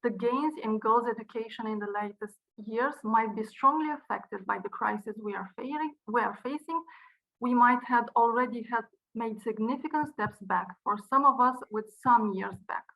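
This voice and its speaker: A woman from Romania with a Romanian accent, a non-native English speaker, delivering a prepared speech in an earnest, measured way with occasional restarts, over a video call. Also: a little high, gravelly, fairly bright tone, poor audio. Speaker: university director giving a speech.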